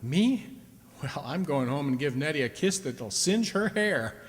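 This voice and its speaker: Man acting excitable husband, echoey hall. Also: a little high, measured pace. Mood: relieved, fond. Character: affectionate husband, excitable, prone to panic and disbelief. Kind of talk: live radio play acting. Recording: echoey hall with long reverb.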